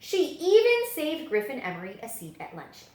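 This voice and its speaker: A woman reading children's picture books aloud as a story-time librarian, with dramatic, expressive storytelling. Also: high-pitched, moderate pace. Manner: dramatic, animated storytelling delivery. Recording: echoey room.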